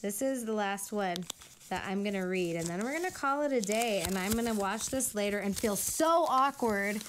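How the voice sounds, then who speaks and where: A woman who grew up speaking Spanish chats on her own vlog at a middle pitch. Her talk wanders, packed with fillers and restarts. A faint hiss sits under the voice. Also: lively intonation, normal speed. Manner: chatty and rambling, with many fillers. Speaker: vlogger hosting her own show. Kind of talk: casual rambling chat, reading old letters aloud.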